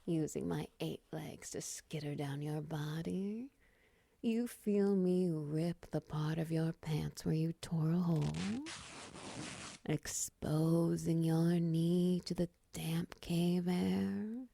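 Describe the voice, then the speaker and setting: A woman playing a friendly, flirty, lonely spider woman in an intimate comfort roleplay, murmuring softly and soothingly with teasing endearments. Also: low voice, steady tone, takes it slowly, creaky, a little hiss or hum, exaggerated voice. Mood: caring, curious.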